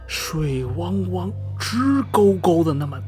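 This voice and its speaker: A man's voice: on the high side, slow, mellow, faint music behind. An actor playing a gossipy middle-aged small-town man in a radio drama, skeptical yet repelled, sighing and drawing out his phrases dramatically. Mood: disgusted, ominous. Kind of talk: radio drama acting, animated storytelling.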